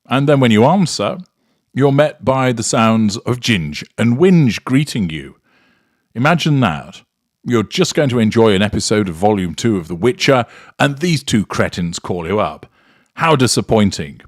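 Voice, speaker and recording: A man's voice: lowish voice, no echo, clean studio sound. A man hosting a commentary show, delivering scripted sarcastic remarks in a mocking, drily theatrical manner.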